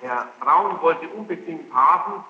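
A man's voice: fairly high pitch, expressive, measured pace. A man questioning a witness in court, probing and sceptical, often repeating the answers back. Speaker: courtroom examiner. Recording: muffled archival tape, echoing hall, faint noise.

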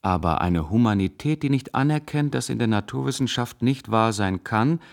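An actor playing a severe, dogmatic intellectual zealot, a professor who lectures in cutting absolutes, in a radio drama. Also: male voice, medium pitch, normal speed, gravelly, close to an everyday voice. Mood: stern, dismissive. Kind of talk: German radio drama acting.

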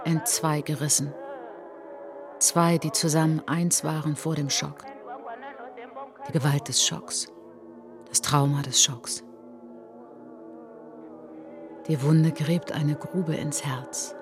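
A woman playing an introspective, wounded traveller who recalls an outcast childhood in a measured, poetic radio drama monologue, with a husky voice. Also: low voice. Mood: grave, sorrowful. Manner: measured, deliberate, short phrases. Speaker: narrator and lead of an autobiographical radio play.

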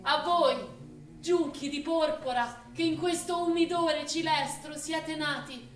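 An actor playing a grave poetic orator, reciting solemn, incantatory mourning verse in a theatre piece. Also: male voice, extremely high pitch, steady tone, slow, fairly bright tone, exaggerated voice. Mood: solemn, fervent. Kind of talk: stage poetry recitation in radio theatre. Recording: roomy, distant stage recording with hiss.

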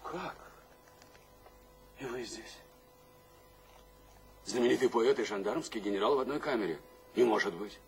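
An actor playing an imprisoned tsarist general, sardonic and servile by turns, with florid old-fashioned courtesy and a raspy voice, in a radio drama. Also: male voice, medium pitch, a little slow, pauses often, slight background noise. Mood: wry surprise. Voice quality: husky, raspy. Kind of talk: theatrical radio drama acting.

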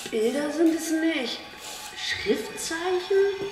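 A woman's voice: very high-pitched, lively intonation, very slow, exaggerated voice. An actress playing a bold, inquisitive woman in a radio drama, with a direct, probing manner. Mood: puzzled. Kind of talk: German radio drama acting. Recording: echoey vault with music.

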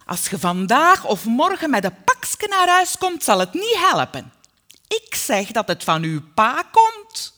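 Storybook role: domineering Flemish mother, done by a female narrator, high and forceful, scolding and scheming. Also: sing-song, unhurried, full character voice. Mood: threatening, scheming. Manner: bossy, firm, biting. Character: domineering working-class Flemish mother, shrill, commanding and scheming.

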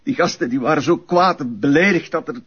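An actor playing an evasive, defensive Flemish crime suspect under interrogation, speaking haltingly when pressed. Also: male voice, fairly high pitch, fairly bright tone, breathy edge. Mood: cornered, nervous. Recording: muffled TV drama soundtrack.

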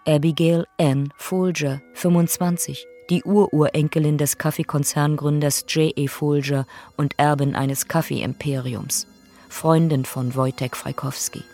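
A woman's voice: low-pitched, fairly even intonation, a little slow, dark tone, faint music behind. A woman narrating victims' profiles in a radio play, in a clipped, factual, sober manner.

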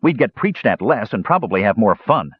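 A man acts a storybook young warrior, the proud older brother who challenges and scolds. His pitch swings widely and the tone is a bit husky. The sound is dull and close. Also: sing-song, a little fast, no room sound. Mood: stern, angry. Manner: stern, challenging.

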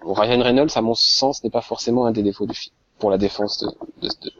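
A man's voice: on the low side, steady tone, fairly quick, a bit bright, no echo. A man hosting a casual panel chat, teasing the guests and laughing often. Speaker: host. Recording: dull lo-fi sound.

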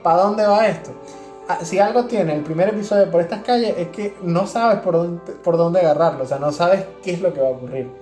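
Mellow low-voiced Venezuelan woman. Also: a little fast, echoey room, soft background music. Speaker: podcast co-host. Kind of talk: casual podcast chat.

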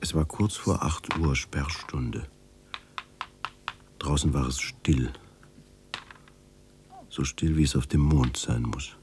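An actor playing a grown man who soberly and deliberately recalls his wartime childhood in a radio drama. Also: rumbling low, noisy background. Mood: somber, reflective. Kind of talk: scripted radio drama narration.

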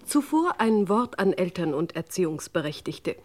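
An actress playing a prim, officious announcer reading a formal scripted warning in a comic radio play. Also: female voice, lowish voice, very animated, moderate pace, over music.

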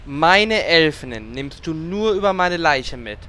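A man's voice: fairly high pitch, lively intonation, measured pace, sharp tone, slight background noise. An actor playing a brash, lecherous male hero in a parody fantasy audio drama, with theatrical exclamations.

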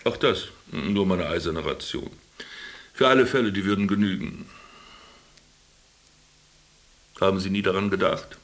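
A man's voice: low voice, fairly quick, a bit bright. An actor playing a sardonic former businessman in a radio play, speaking with dry irony and a theatrical, lecturing manner. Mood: dry, provoking. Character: sardonic former businessman stranded in a camp, teasing his companion. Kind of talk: German radio play dialogue.

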